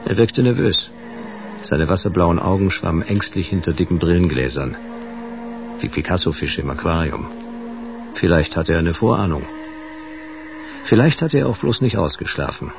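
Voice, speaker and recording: An actor playing a hard-boiled private detective in a radio play, wry and unruffled, with a laconic, sardonic delivery. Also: male voice, deep voice, expressive, moderate pace, vocal fry, long pauses, noisy background. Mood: wry noir narration. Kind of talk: radio play dialogue and noir first-person narration. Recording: radio play with music underneath.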